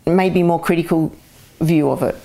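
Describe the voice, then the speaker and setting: A woman's voice: sits low, normal speed, creaky, warm tone, rough recording. A woman, an artist talking frankly and with humour in an interview. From Scotland. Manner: frank, opinionated, laughs often. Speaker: artist guest. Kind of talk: casual conversational interview.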